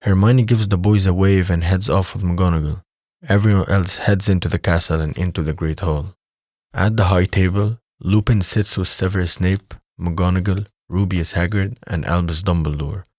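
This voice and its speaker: A man's voice: low voice, fairly even intonation, moderate pace, a little mellow, no room sound, clean studio sound. A man reading scripted narration for an audio drama as its narrator.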